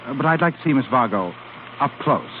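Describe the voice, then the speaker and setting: Male actor as young gentleman, earnest, hesitant, pleading, animated pitch, vintage radio play, narrow phone-like sound. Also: brisk, lightly acted. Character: earnest, polite young American gentleman, shyly persistent. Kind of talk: 1930s radio acting. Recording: noisy, band-limited 1930s radio broadcast.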